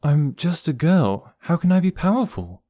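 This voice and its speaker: A defiant teenage girl, voiced by a male narrator, pitched high and quick to anger. She sounds wounded and demanding, in storybook dialogue recorded close and dry. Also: animated, warm tone, no echo. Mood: earnest, confused. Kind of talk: audiobook character dialogue.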